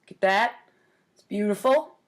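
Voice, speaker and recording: A woman's voice: mid-range voice, normal speed, noisy background. A woman in her forties talking casually and playfully in a storytime vlog, with a wry, mock-earnest manner. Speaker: host of a storytime series. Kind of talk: casual comic storytime vlog, commenting on own childhood writings. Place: home kitchen, indoors.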